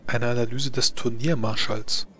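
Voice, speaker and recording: A man leads a tabletop role-playing session as game master. He describes the scene in a plain, mid-pitched voice. He often pauses and reaches for words as he goes. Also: steady tone, a bit bright. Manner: descriptive, hesitant with fillers.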